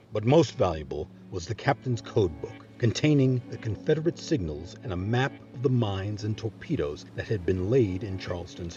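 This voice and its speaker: A man's voice: medium pitch, a little mellow, highs cut off. A man narrating history in a scripted documentary style. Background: background music.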